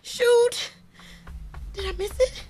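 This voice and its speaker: A woman's voice: very high-pitched, steady tone, slow pace. A woman acting a distraught, stubborn, frightened woman in an audio horror drama, stammering and repeating herself. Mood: panicked. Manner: stammering, repetitive, swinging between shouts and whispers. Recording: clearly noisy background.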